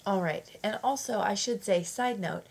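A woman's voice: mid-range voice, moderate pace, vocal fry. A woman fighting off a cold, narrating a casual craft tutorial in a chatty, self-correcting way. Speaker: craft channel host.

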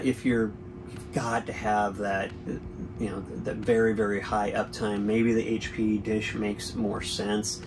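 A man's voice: on the low side, noisy background. A man in his forties hosting a boating channel, chatting through a product review from a boat cabin with an easygoing, explanatory manner and frequent fillers.

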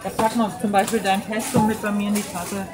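A woman's voice: mid-range voice, level tone, fast talker. Distant echoey woman in a noisy supermarket. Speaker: shopper. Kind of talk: casual chat while packing groceries. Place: large supermarket.

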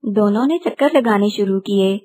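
A woman's voice: mid-range voice, normal speed, warm tone, breathy edge. A woman narrating a scripted children's story.

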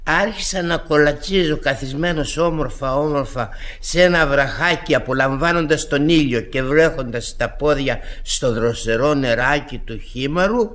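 An actor playing a wheedling, boozy vagabond painter in a Greek radio play, chatty and theatrical. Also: male voice, a little high, few pauses, fairly bright tone, natural voice. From Greece. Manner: chatty, theatrical, self-pitying and cajoling.

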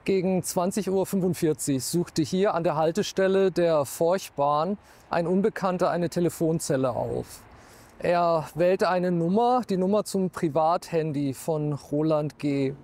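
A man's voice: a little high, unhurried, darkish tone. A man in his thirties or forties, a true-crime TV expert, retelling a case factually on camera outdoors into a lapel mic. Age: 30s or 40s. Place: outdoors in town.

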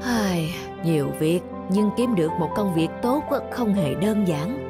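A woman's voice: medium pitch, lively intonation, measured pace, loud music behind the voice, natural voice. A woman voicing a weary, kindly, regretful old man giving reflective advice in a cartoon. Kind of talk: moral-tale cartoon dubbing.

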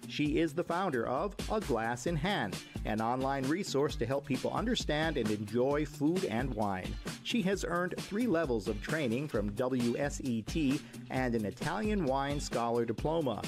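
A man's voice: medium pitch, expressive, moderate pace, few pauses. A man reading a scripted biographical voice-over as narrator. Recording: music under the voice-over. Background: instrumental music.

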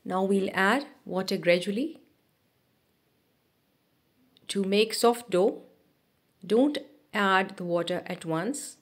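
A woman narrating a cooking video as a voice-over, giving steady step-by-step instructions with small restarts. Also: mid-range voice, slow pace, long pauses. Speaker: cooking channel host.

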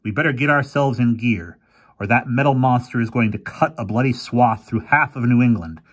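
A man voicing a gruff elderly professor, a crusty, grumbling older man with a husky voice who turns theatrical when he scolds himself. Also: mid-range voice, moderate pace, pitched above the performer's own voice, close to an everyday voice. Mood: determined.

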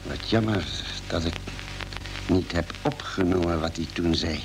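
Ship's doctor, low gravelly voice, cautious, hesitant, vintage radio play. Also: male voice, over music, low-quality recording. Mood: pensive. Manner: hesitant, thoughtful, measured. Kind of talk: old Dutch radio drama acting.

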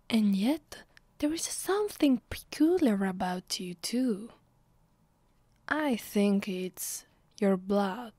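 Slow, whispery Italian vampire mistress, acted by a woman. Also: mid-range voice, dry recording. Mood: intrigued, menacing. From Italy. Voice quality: soft, breathy, often dropping to a whisper. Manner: slow, deliberate, commanding. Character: haughty, sadistic female vampire mistress savoring her power. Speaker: voice actress. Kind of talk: ASMR roleplay. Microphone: close microphone.

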